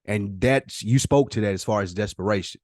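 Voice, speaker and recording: A man's voice: lowish voice, brisk, darkish tone, no echo, studio quality. A man co-hosting a podcast, chatting casually in a reflective, slangy and profane way. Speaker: podcast co-host. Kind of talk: casual chat.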